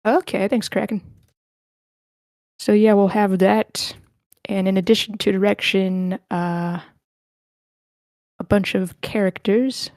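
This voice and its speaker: Casting: informal female host of an online script read, mid-pitched, closely recorded with little room sound. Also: flat delivery, slow, pauses often, a little mellow, no room sound, clean studio sound. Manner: informal, chatty. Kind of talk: casual chat, organizing a cast.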